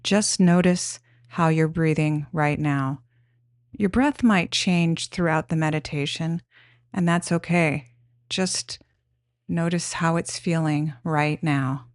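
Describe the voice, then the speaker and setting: Female meditation guide: gentle, instructional, very unhurried delivery, slightly low pitch. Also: no echo, clean studio sound.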